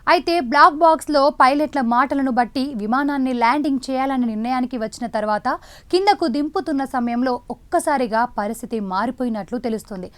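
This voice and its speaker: A woman with a noticeably high voice narrates a news report. Her scripted delivery is dramatic and emphatic, in the manner of a news presenter. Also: fast.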